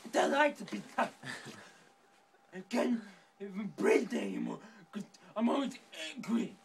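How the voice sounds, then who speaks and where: A man voicing a whiny teenage girl stuck in a wheelchair in an improvised comic skit, talking in a slow, halting, plaintive way. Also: mid-range voice, lightly acted. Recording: distant mic with faint background noise.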